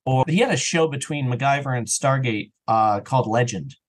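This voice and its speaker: A man's voice: mid-range voice, moderate pace. A man speaking as an interview guest on a podcast in a casual, opinionated, emphatic conversational way. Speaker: interview guest, audio drama writer and actor.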